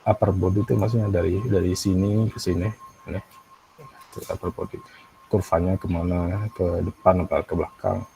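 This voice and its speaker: A man with an Indonesian accent teaching an animation software tutorial, thinking aloud in a halting way with frequent pauses. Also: low voice, flat delivery, faint music behind, low-quality recording. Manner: halting, pausing often, frequent throat clearing. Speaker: animation instructor.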